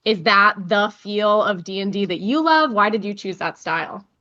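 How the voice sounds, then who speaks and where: A woman's voice: medium pitch, moderate pace, pushed and bright, dull audio. A woman hosting a casual interview podcast, speaking in an enthusiastic, affirming way. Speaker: podcast host interviewing a guest.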